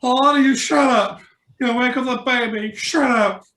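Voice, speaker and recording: An actor playing a jolly, muddled drunken neighbour in a radio play, with slurred, rambling speech. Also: male voice, very high voice, moderate pace, fairly bright tone. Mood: drunk, grumbling. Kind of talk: radio drama reading. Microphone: video call. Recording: roomy, echoing home video-call sound.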